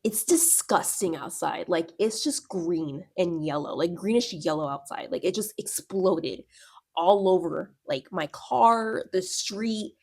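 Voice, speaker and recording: A woman's voice: fairly low pitch, animated, unhurried, vocal fry, a little mellow, breathy edge, dry recording, studio quality. Stuffy-nosed young woman rambling. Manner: rambling, filler-heavy, lots of "like". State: stuffy nose from a cold and allergies. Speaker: book vlogger. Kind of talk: casual chatty reading vlog. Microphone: clip-on or small personal microphone.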